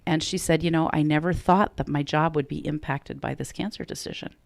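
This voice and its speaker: A woman with a low voice and a gravelly edge, talking earnestly as a guest on a radio interview. She tells her stories with quoted back-and-forth, and her voice sits close and dry on the microphone. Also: steady tone, fairly quick, dry recording. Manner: earnest, storytelling with quoted dialogue. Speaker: program director, radio guest.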